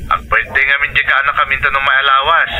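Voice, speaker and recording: A man's voice: mid-range voice, monotone, fast talker, dull audio, close to an everyday voice. A man playing a needy older brother coaxing his sister for money, in an Ilocano-accented radio drama style.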